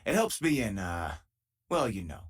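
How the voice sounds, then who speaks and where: An actor playing an easygoing, loyal and wry space miner companion in a video game, with a husky, conversational delivery. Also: male voice, on the low side, very animated, a little slow, heavily acted. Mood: friendly, casual.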